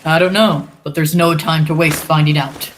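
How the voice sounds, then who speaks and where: A man's voice: a little high, level tone, moderate pace, a bit bright, natural voice. A teenage male student actor playing a heroic, determined young space adventurer in old-time radio serial style. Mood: gallant, assured. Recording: music behind the voice.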